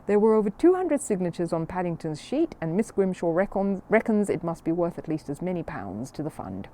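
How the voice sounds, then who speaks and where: A woman's voice: fairly low pitch, very animated, fairly quick, warm tone, a little hiss or hum. A woman voicing an eager, bossy schoolgirl in a children's storybook. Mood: cheerful.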